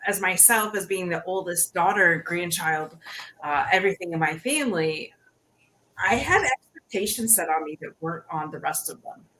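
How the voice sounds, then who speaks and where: A woman's voice: fairly low pitch, normal speed, bright, forceful tone, slight background noise, poor audio. A woman hosting an interview podcast, chatting casually with plenty of restarts and "you know". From Canada. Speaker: podcast host.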